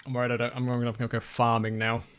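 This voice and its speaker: A man's voice: mid-range voice, completely flat delivery, fast, fairly bright tone, clean tone. A man streaming a game late in a long session, tired and losing his voice, muttering wry asides to himself and his chat. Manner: muttering, self-deprecating, talking to himself and chat. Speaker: let's play streamer. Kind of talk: casual gameplay commentary.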